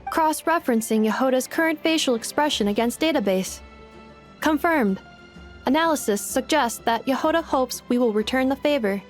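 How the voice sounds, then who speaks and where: A woman's voice: high voice, moderate pace, soft background music, natural voice. A woman voicing a polite, analytical robot girl in a video game, with formal, computer-like phrasing. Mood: analytical, matter-of-fact.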